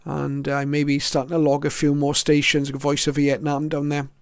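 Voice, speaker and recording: A mature man presents his hobby collection in a casual, reflective way. His voice sits a little high and stays even in pitch, with a clean, smooth tone. He moves through items one by one, often hesitating as he goes. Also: normal speed, no room sound, studio quality. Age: middle-aged or older. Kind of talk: casual show-and-tell narration.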